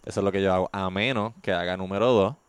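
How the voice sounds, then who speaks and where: A man co-hosting a podcast, chatting in a matter-of-fact, deadpan way. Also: sits low, fast talker, clean tone, dry recording. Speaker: podcast co-host. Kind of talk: casual chat.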